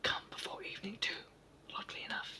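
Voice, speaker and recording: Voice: fast, lightly acted. A reader whispering the part of a deaf, cross little saucepan man in a storybook. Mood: muddled.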